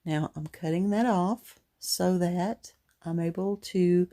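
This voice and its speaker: Gravelly, low-voiced woman chatting over crafts. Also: mellow. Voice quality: gruff, gravelly. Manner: chatty, rambling, unhurried. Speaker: craft vlogger. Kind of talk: casual craft tutorial voice-over.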